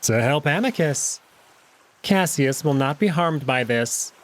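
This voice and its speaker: A man plays a jackal noble from a visual novel, pitched a little high for a male voice. He is stern and scheming. He speaks briskly and tersely, like someone used to giving orders. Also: animated. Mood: cold, threatening.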